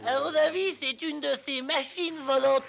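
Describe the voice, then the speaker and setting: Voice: high-pitched, steady tone, normal speed, gravelly, background noise. An actor voicing a friendly, loyal small animal companion in a cartoon dub, polite. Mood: matter-of-fact. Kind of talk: French cartoon dub acting.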